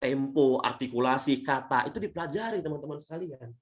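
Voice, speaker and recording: A man from Indonesia, a leadership coach giving a webinar talk over a video call, swinging between loud and soft and often addressing the audience as friends. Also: lively intonation, brisk. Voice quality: says his natural voice is thin and shrill.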